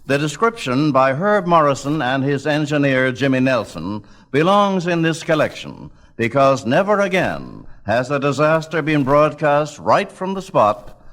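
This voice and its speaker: A man delivering scripted documentary narration in a measured, dramatic storytelling manner. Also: mid-range voice, fairly bright tone. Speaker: narrator of a radio history album.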